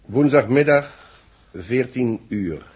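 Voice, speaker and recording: An actor playing a deliberate space-base official in 1960s radio drama, with a husky voice and a Netherlands Dutch accent. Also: male voice, medium pitch, expressive, darkish tone, exaggerated voice. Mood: formal dictating. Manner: deliberate, explanatory. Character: measured male space-base official briefing his secretary.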